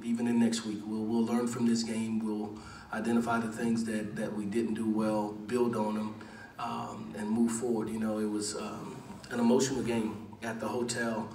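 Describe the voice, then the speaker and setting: A middle-aged man coaching a football team speaks at a postgame press conference, low-pitched and fairly flat in melody. He talks in a measured, earnest way, full of "uh"s and restarts. The sound comes from across a large echoey room with faint background hum. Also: distant mic. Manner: measured, earnest, many "uh" pauses and repeated words. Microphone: table mic, recorded from across the room.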